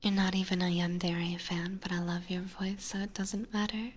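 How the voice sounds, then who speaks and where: A woman softly whispering in a gentle, hesitant ASMR style, chatting casually with viewers up close to the microphone. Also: lowish voice, flat delivery, normal speed. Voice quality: soft, breathy, mostly whispered. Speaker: ASMR streamer and host. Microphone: close binaural ASMR microphone.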